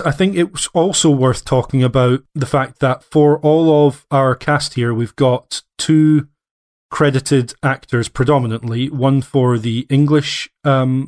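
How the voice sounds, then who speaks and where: A man's voice: medium pitch, a little slow. A man co-hosting a game podcast, thinking aloud and hedging with frequent fillers. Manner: thoughtful, hedging with many fillers. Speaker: podcast co-host. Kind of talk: casual game discussion.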